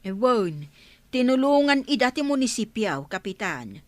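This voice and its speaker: A woman's voice: on the high side, very animated, unhurried, no room sound. An actress playing a plaintive, inquisitive village woman with an Ilocano accent in a comedy radio drama.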